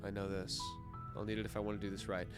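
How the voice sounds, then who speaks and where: A man's voice: fairly low pitch, completely flat delivery, fast talker, over music. A man live-streaming a video game with casual, sweary, irreverent commentary.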